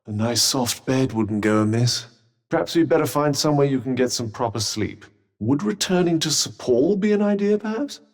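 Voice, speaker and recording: Reverberant, haughty British magical cuff. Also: male voice, mid-range voice, lively intonation, normal speed, a little mellow. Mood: calm, advisory. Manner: pompous, droll, formal wording. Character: haughty, sardonic talking magical cuff, refined male companion. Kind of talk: scripted video game companion dialogue.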